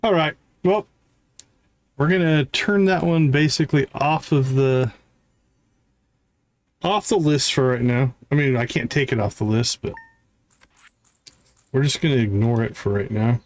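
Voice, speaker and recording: A man's voice: medium pitch, a little slow, pauses often. A man doing casual live gameplay commentary, thinking aloud to himself and his viewers as a streamer. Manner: musing, talks to viewers and self. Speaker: video game livestreamer.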